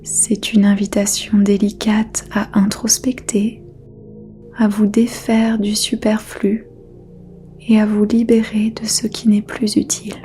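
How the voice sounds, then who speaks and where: A woman whispering a guided yoga nidra meditation as its teacher, soft and unhurried throughout. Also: medium pitch, monotone, faint music behind.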